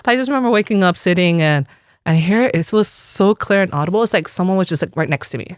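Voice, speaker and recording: A woman's voice: lowish voice, animated, fast, smooth, no room sound, studio quality. A woman in her forties from the United States, a religious sister telling her personal story in an interview, earnest and reflective.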